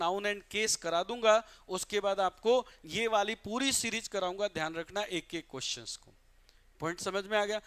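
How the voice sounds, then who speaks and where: A man from Bihar teaching English grammar in a live online class, calling students "beta", asking and answering his own questions, and reading English examples out slowly. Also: high-pitched, a bit bright, smooth. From Bihar, India.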